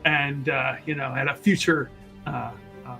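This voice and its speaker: A man's voice: medium pitch, fairly even intonation, unhurried, vocal fry, pushed and bright, soft background music. A man playing a tabletop roleplaying game, casually improvising a description of his character in a rambling, hesitant way.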